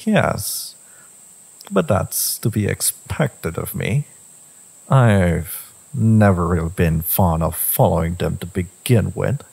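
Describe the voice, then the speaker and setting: A man voicing a brooding, possessive villain devoted to a secret lover, in an intimate, intense dramatic audio roleplay, with slow, deliberate delivery and a husky, gravelly voice. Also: sits low, animated, a little mellow, exaggerated voice. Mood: wry, defiant.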